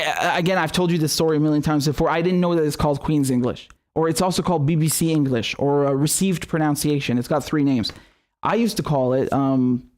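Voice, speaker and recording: Fiery ranting man, fairly high voice. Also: darkish tone. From Syria. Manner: passionate ranting, swearing, quick asides. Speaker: independent political commentator. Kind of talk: live-streamed commentary.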